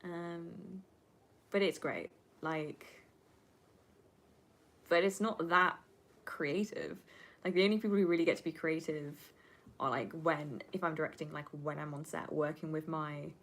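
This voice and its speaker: Low-pitched young woman chatting candidly. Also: steady tone, a little slow, pauses often. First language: Flemish Dutch. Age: 20s. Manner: rambling, candid, sweary, emphatic. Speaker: livestreamer and film student. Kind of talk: casual livestream chat answering viewer questions.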